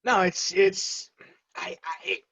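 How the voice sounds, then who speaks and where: A man's voice: high-pitched, takes it slowly, creaky, bright, forceful tone, husky. A man co-hosting an energy industry talk show in casual banter, rambling and often restarting his sentences. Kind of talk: casual unscripted banter and commentary.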